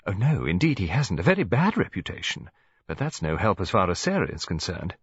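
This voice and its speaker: A man's voice: low-pitched, big pitch swings, a little fast, slightly rough, no room sound, dull audio, heavily acted. A man voicing a genteel, practical English lady near seventy, who chatters politely in an audiobook story. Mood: hesitant, confiding. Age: older. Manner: chatty, rambling, polite tag questions.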